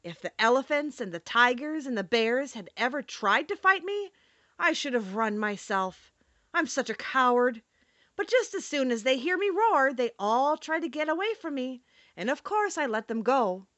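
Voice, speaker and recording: A woman's voice: high-pitched, sing-song, normal speed, a bit bright, no room sound, muffled recording, voice pushed up. A woman reading aloud and voicing a big male lion who is a sorrowful coward, in a plaintive, self-pitying manner. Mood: mournful. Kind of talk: audiobook character reading.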